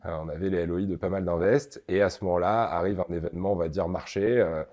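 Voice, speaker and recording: A man's voice: deep voice, fairly even intonation, fast talker, darkish tone, lo-fi sound. A young man from the Paris region, a startup founder, answering interview questions with many hesitations and repeated words. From Paris region, France.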